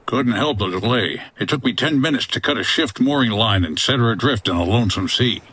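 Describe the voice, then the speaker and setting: A man playing a gruff, wry 1950s American hotel owner and adventurer, dry and hardboiled, in an old-time radio detective style. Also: mid-range voice, animated, brisk, a bit bright, dry recording. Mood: brisk, dry.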